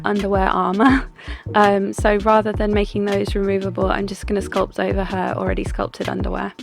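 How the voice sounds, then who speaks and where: A young adult doll artist talking through their craft in a relaxed, chatty way, with plenty of ums and restarts. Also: female voice, medium pitch, vocal fry. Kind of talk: casual vlog talk and craft voice-over. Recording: music bed under the voice.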